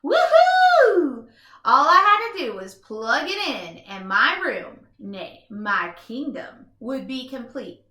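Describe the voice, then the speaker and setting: Woman playing a smug couch potato, high swooping voice, exclamatory, theatrical, children's storybook, roomy echo. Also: sing-song, slow, pushed and bright, exaggerated voice. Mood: excited. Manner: exclamatory, theatrical, self-satisfied. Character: comfort-loving, boastful, easily thrilled male couch potato. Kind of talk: first-person children's storybook read-aloud.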